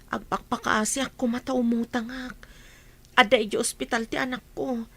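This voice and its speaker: A woman's voice: a little high, fairly even intonation, normal speed. An actress with a Filipino accent playing a humbled, pleading mother in a radio drama. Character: pleading older female cousin, mother of sick child.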